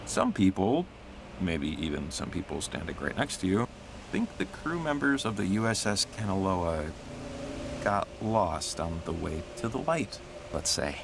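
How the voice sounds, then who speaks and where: An actor playing a chatty, excitable young man in a video game, rambling and hesitant in a casual way. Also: low-pitched, unhurried, creaky, background noise, exaggerated voice. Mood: musing. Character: chatty, excitable young man, goofy local believer in ghost stories. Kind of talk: naturalistic video game dialogue.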